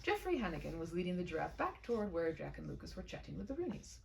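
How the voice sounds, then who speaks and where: Very animated woman storyteller, creaky voice. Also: lowish voice, quick pace, distant mic, slight background noise. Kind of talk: expressive read-aloud of a humorous short story.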